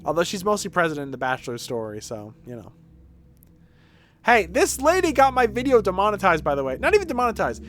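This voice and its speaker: A man doing casual livestream game commentary in an animated, irreverent and crude way. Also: a little high, slight background noise. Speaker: game streamer.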